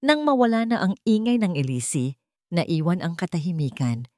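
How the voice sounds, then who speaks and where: A woman narrates a dramatic story from a script. Her voice sits fairly low, and the recording is dry, with little room sound. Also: expressive, moderate pace, darkish tone, no room sound.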